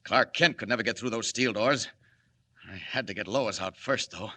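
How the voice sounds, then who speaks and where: An actor playing a bold, resolute superhero in a 1940s radio serial, speaking in a declamatory way. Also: male voice, on the low side, sharp tone. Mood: determined, commanding. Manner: declamatory, deliberate. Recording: noisy, clicking vintage radio recording.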